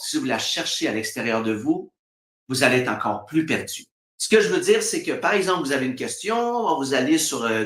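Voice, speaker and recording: A man's voice: a little high, a bit bright, echoey room. A man from Quebec with a Québécois accent, talking casually as a guest on a live stream, laughing often and acting out quoted voices. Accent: Québécois French. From Quebec, Canada. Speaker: spiritual guest.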